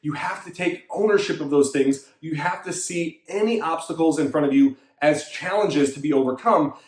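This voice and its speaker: High-voiced man giving a fitness pep talk. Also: normal speed, a little mellow, roomy sound. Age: 30s. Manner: emphatic, repetitive coaching pep talk. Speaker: fitness coach and show host. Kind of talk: motivational monologue.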